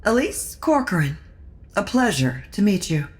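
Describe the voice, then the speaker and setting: A woman's voice: mid-range voice, big pitch swings, measured pace. Woman as sardonic society lady, swooping delivery. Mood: dryly cutting. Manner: dry, arch, sardonic. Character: witty, cutting, aloof society lady guest. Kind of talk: audio drama acting.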